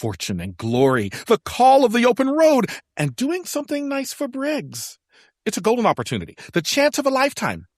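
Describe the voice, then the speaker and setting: A woman playing an excitable, grandiose young adventurer in a science fiction audio drama, speaking in a florid, mock-heroic way. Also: on the high side, moderate pace, dry recording, studio quality. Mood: excited, grandiose. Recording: music under the voice.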